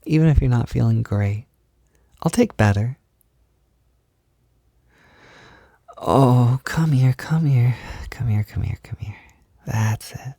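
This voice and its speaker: A man playing a gentle, affectionate boyfriend in an intimate roleplay audio, speaking softly and soothingly, with unhurried reassurance. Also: medium pitch, no echo, studio quality. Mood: tender, soothing. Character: gentle, affectionate boyfriend comforting his insecure partner. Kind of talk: intimate roleplay audio, soft-spoken ASMR.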